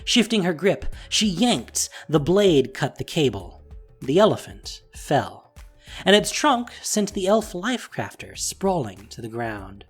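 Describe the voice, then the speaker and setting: A man's voice: a little high, very animated, unhurried, husky. A man narrating a fantasy audio drama in a dramatic, scripted storytelling style. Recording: faint music behind voice. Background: faint music.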